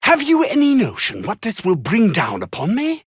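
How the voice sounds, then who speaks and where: A haughty, ambitious scientist from a video game. His voice sits fairly high for a man and swings expressively, with fussy, formal diction and an anxious edge. The sound is dry and close. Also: huge pitch swings, normal speed, slightly rough, no room sound, full character voice. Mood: agitated, bitter. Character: pompous, ambitious, anxious male research scientist. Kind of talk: theatrical game dialogue.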